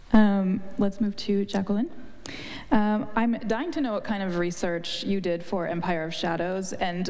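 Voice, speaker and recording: A woman's voice: medium pitch, a little mellow. A woman moderating a live author panel, asking questions in a friendly way. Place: event hall. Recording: reverberant hall.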